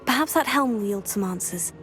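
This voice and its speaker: A woman's voice: medium pitch, expressive, brisk, fairly bright tone, close to an everyday voice. A woman playing an earnest, polite teenage witch student in a video game. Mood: composed, earnest. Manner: polite, composed. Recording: music under the voice.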